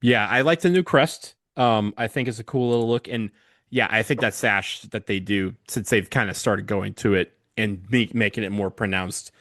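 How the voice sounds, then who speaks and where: Low-voiced man, dry close sound. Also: normal speed, a bit bright, no room sound. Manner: hesitant, many fillers and restarts. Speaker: podcast co-host. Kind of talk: casual chat.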